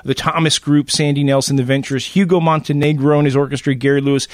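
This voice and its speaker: A man's voice: a little high, flat delivery, fast talker, dry recording, clean studio sound. A male radio host interviewing a guest, asking long, enthusiastic questions.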